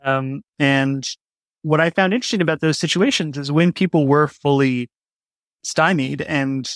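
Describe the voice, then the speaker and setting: A man's voice: fairly high pitch, normal speed, no echo, clean studio sound. A man speaking as an interview guest, explaining his creative work in a casual, reflective way. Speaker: interview guest, audio drama writer.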